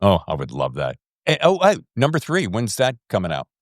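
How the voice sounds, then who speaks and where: A man hosting a podcast interview in a casual, effusive and animated way. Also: lowish voice, fairly quick, no echo, studio quality. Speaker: podcast host interviewing authors.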